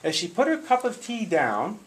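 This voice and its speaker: A man telling a story theatrically and deliberately to a group, with playful asides. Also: high voice, huge pitch swings. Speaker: live storyteller. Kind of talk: dramatic spoken storytelling to a live group. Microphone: small consumer video camera in the room. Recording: echoey noisy room, consumer camcorder audio.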